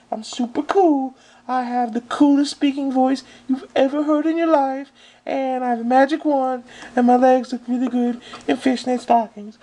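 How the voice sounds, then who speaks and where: A man voicing a giggly, vain young woman in a toy figure parody play, in a high, bubbly, breathless falsetto. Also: dark tone, rough recording.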